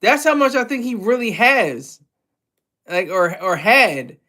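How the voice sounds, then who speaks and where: High-voiced man rambling on a livestream. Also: sing-song, slow, bright, forceful tone. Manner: loose, rambling, with frequent restarts and chuckles. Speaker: livestream host reading chat. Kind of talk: casual livestream chat.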